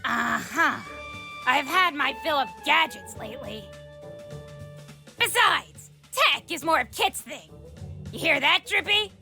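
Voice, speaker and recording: Woman as a brash, gravelly cartoon tenrec girl. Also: high-pitched, expressive, slow pace, pauses often, pushed and bright, exaggerated voice. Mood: cocky, mocking. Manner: cocky, sarcastic, challenging. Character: brash, taunting young female cartoon anthropomorphic tenrec. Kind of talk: comic dub voice acting. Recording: music under the voice.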